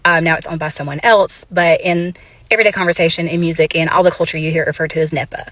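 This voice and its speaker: A woman reflects calmly and hesitantly, pausing on ums between thoughts. Her voice is very low for a woman and close, with a dry, deadened sound. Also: no room sound. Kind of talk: reflective monologue.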